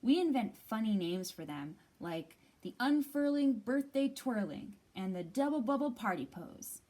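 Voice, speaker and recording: A jaunty storybook lobster , put on by a woman, shows off playfully. The voice sits fairly high and swoops through a wide range, with a nautical swagger. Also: animated, unhurried, mellow. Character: yoga-loving lobster, jaunty nautical show-off, picture book.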